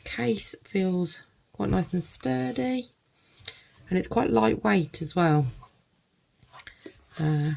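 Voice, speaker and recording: A woman's voice: mid-range voice, animated, very slow, creaky, long pauses, a little mellow. A woman giving a casual first-look product review, thinking aloud in loose, hesitant phrases.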